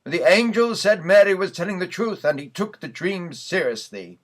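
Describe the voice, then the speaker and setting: A man's voice: sits high, expressive, normal speed. A man reading a scripted mock news bulletin as the newsreader in a church sketch.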